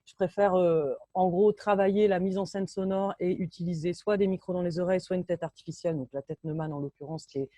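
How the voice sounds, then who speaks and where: Low-toned, mellow woman, informal French speaker. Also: steady tone, dry recording. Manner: halting, self-correcting, informal. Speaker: sound engineer and lecturer. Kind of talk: informal technical presentation in an online meeting. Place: indoors on a video call.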